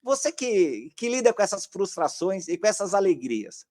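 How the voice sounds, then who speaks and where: A man hosting a conversational interview, addressing viewers and building points haltingly with repeated words. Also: sits high, big pitch swings, a little mellow, dry recording. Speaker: interview show host.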